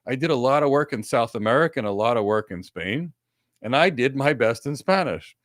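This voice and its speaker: A man's voice: mid-range voice, animated, brisk, no echo, clean studio sound. A man co-hosting a live career question session on a webcam, giving earnest advice in short repeated instructions from a home office with several monitors. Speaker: co-host answering career questions. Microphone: desk webcam setup, video call.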